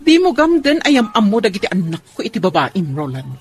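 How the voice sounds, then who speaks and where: A woman's voice: medium pitch, sing-song, brisk, natural voice. A woman acting in a radio drama as a jealous, defiant wife and protective mother. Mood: angry, defiant. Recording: faint background noise and ambience.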